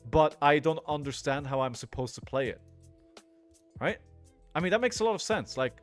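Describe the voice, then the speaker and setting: Man in his forties chatting on a game stream, music behind. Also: a little high. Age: 40s. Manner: rambling, thinking aloud, frequently checking in with "right?". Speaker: live streamer playing a card game. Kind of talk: casual live gaming commentary mixed with chat to viewers.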